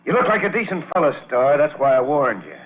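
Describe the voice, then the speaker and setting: An actor playing a menacing, jealous and vengeful husband with a sneering, threatening manner in 1940s radio villain style. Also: male voice, on the high side, sing-song, fast talker. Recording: tinny old radio, faint hiss.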